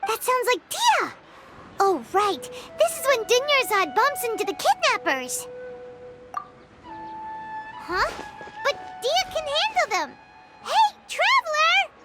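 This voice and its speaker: A woman voicing a small, childlike, excitable fairy companion in a video game, squeaky and exclamatory. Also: slow pace, pauses often, full character voice. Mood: excited. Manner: refers to self in third person, exclamatory. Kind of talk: video game cutscene acting. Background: music.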